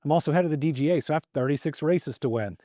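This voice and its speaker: A man's voice: on the high side, racing, mellow, no room sound, clean studio sound. A middle-aged man from Kentucky hosting a podcast in earnest, casual conversation, often repeating words as he starts a thought. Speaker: podcast host and state governor.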